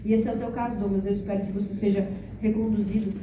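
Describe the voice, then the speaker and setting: A woman's voice: mid-range voice, little pitch movement, quick pace. A woman from Santa Catarina with a rural Santa Catarina accent, a former state deputy giving a speech at a public event, thanking people and joking with the audience. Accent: rural Santa Catarina Portuguese. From Santa Catarina, Brazil. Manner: warm joking asides, grateful acknowledgments. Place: large council hall with audience. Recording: distant mic, echoey hall, noisy crowd.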